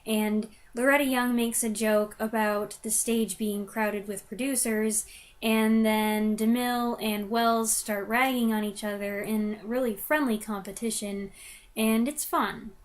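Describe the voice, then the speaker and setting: High-voiced woman giving a wry review. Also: steady tone, measured pace. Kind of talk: conversational review commentary.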